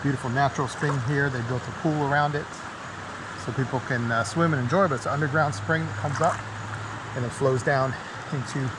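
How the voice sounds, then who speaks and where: A man talking casually and haltingly as he hosts an outdoor camping vlog, with a laid-back, folksy manner. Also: medium pitch, steady tone. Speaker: vlog host. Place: outdoors at a state park and campsite. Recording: noisy outdoor recording with insects.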